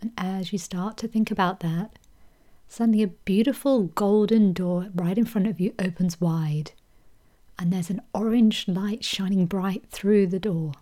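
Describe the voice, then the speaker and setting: Soft-spoken woman guiding a meditation. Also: mid-range voice. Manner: slow soothing delivery with soft whispered phrases. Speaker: meditation guide. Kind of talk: guided meditation.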